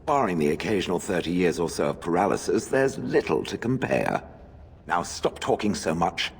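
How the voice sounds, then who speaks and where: An actor playing a haughty, sardonic stone golem in a video game, gravelly and theatrical. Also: male voice, low-pitched, very animated, moderate pace, a little hiss or hum, full character voice. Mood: dryly disdainful. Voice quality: gravelly, husky. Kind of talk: video game character acting. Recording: long reverb.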